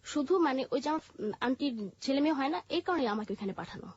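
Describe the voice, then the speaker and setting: Bangladeshi female interview guest, high-pitched husky voice, halting personal narration, dull lo-fi sound. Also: lively intonation, a little mellow. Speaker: interview guest telling her life story.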